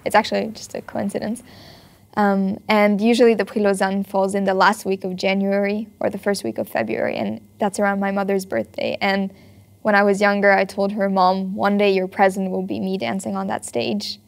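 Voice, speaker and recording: A teenage girl from Switzerland, a ballet student, answering interview questions in a thoughtful, earnest way with frequent pauses. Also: medium pitch, fairly even intonation, vocal fry. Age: teens. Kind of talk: documentary interview. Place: bright ballet studio.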